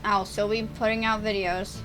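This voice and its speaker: A teenage girl chatting and stammering through gameplay commentary on her own channel, with a voice she calls a little deeper and rougher over time. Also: level tone, moderate pace, music in the background, poor audio. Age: teens. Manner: chatty, stammering, restarts words. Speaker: gaming YouTuber.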